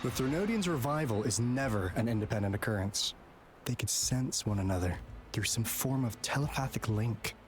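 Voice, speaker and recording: An actor playing an earnest male researcher in a video game, explaining in a measured way. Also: mid-range voice, expressive, close to an everyday voice. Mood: serious, explaining. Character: earnest male researcher briefing allies on looming disaster. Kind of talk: scripted game dialogue. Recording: game music behind voice.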